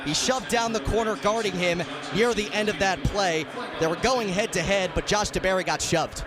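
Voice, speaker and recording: A man doing radio play-by-play sports commentary in clipped running descriptions, ready to burst into excited calls. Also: sits high, normal speed, crowd noise.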